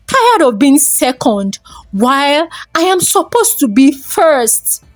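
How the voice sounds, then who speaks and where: A bitter, jealous older sister in a spoken folktale, acted by a woman narrator. She sounds cornered, her high voice lurching up and down in pitch. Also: big pitch swings, takes it slowly, dry recording, faint music behind, exaggerated voice. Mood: bitter, desperate.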